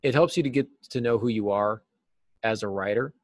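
A middle-aged man giving conversational advice as an interview guest, often pausing mid-thought as he phrases things. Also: on the low side, dry recording, clean studio sound. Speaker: guest, novelist and podcast host. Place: small cluttered office, indoors.

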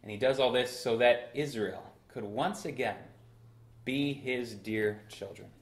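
A young man in his twenties preaching a sermon from a church pulpit, with bursts of emphasis and slow, drawn-out phrases. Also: mid-range voice. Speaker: pastor. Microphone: far camera in a roomy church. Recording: echoey church room.

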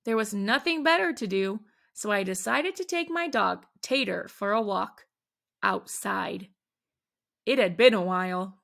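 Slow, bright-voiced woman reading aloud. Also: medium pitch, vocal fry. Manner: animated, dramatic emphasis on exclamations. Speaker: children's book reader. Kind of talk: expressive read-aloud storytelling.

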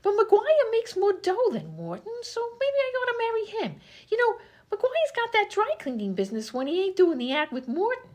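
A woman's voice: very high voice, wildly swooping pitch, normal speed, heavily acted. A woman playing a dim, chatty 1920s vaudeville comedienne, slangy and easily indignant, in an old-time radio comedy sketch. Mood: dreamy, chattering. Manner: scatterbrained, slangy, literal-minded.

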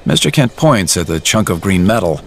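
A man acting as the narrator of an audio drama, telling it in a measured, descriptive, literary way. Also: low-pitched, sing-song, slight background noise. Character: omniscient male storyteller narrating in present tense.

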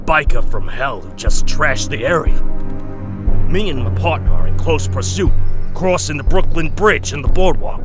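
A man plays a shaken motorcycle cop in a comic drama. He gives a grim eyewitness account in a slightly high voice over music. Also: expressive, moderate pace, natural voice. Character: shaken male New York motorcycle cop.

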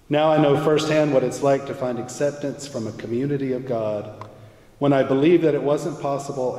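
Man in his forties, mellow voice, churchy echo. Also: medium pitch. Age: 40s. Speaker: church music minister. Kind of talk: reading a prepared testimony from the pulpit. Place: large church sanctuary. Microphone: pulpit mic, far camera. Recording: echoey church from afar.

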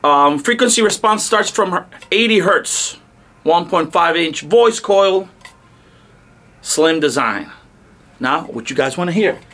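A man's voice: fairly high pitch, lively intonation, slow pace, sharp tone. A man in his thirties hosting a casual product demo, talking to camera in short, punchy, enthusiastic phrases. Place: indoor car audio showroom. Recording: clear background noise.

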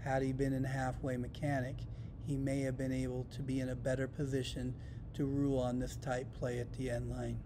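A man's voice: completely flat delivery, fairly quick. An American man reading scripted instructional narration for a training video in a matter-of-fact way. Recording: rumbling background noise.

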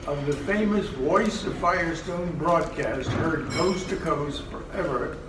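An elderly man with a high voice tells a story in halting pieces, checking in with his listener as he goes. He is heard from a distance in an echoey room with loud noise. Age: 80s or older. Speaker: assisted living resident telling a story. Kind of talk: conversational storytelling. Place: large indoor room at a care home.

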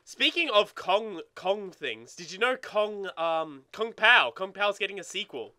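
A man's voice: high voice, lively intonation, measured pace, bright, forceful tone, no echo, clean studio sound. A young man giving casual gaming commentary, rambling and swearing as he banters. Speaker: let's play co-host.

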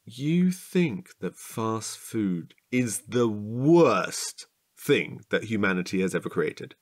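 A man's voice: on the low side, sing-song, slow. An actor playing a playful, easily bored, childishly eager man in an audio drama, indignant and teasing.

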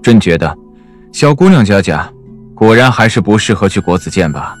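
A scheming emperor in a Chinese period audio drama. His voice sits very low and sounds imperious and theatrically regretful, with faint music behind it. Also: sing-song, moderate pace. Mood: feigned regret.

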